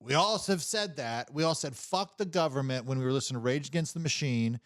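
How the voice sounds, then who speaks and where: A man's voice: mid-range voice, brisk, no room sound, studio quality. A man chatting casually about politics on a livestream, emphatic and exasperated, swearing often.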